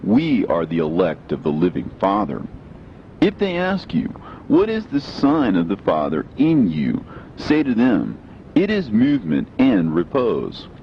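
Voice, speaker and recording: A man giving a solemn, measured, declamatory scripted reading of scripture as a narrator. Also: huge pitch swings. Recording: dull lo-fi sound, faint rumbling noise.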